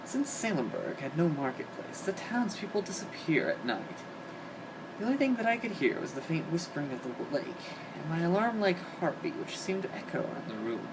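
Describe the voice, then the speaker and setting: A man's voice: on the high side, very animated, fairly quick. A man giving a dramatic reading of comic fan fiction. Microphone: home microphone on an internet call. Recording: hobby voice-call recording, clear background noise.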